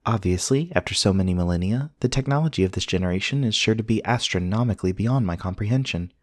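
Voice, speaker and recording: A man playing a polite, earnest companion robot in an ASMR roleplay, speaking in formal, measured, precise sentences. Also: fairly low pitch, no echo, studio quality, lightly acted. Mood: polite, thoughtful. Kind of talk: scripted ASMR roleplay acting.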